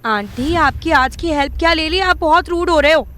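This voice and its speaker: A woman's voice: high voice, expressive, normal speed, fairly bright tone. A woman acting a bold, glib young woman with an Indian accent in an audio drama, confident and cheeky. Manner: confident, cheeky, mixes in English phrases.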